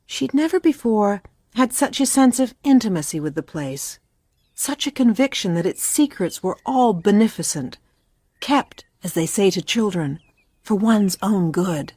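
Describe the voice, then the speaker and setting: A woman's voice: mid-range voice, expressive, a little slow, warm tone, breathy edge, dry recording, studio quality. A woman narrating a literary story for a radio play from a script.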